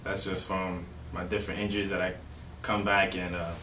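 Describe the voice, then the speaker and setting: A young man from Miami, a college baseball player, answering interview questions in a relaxed way with lots of "you know". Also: low-pitched, fairly quick. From Miami, Florida. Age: young adult. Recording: roomy, distant mic with faint noise.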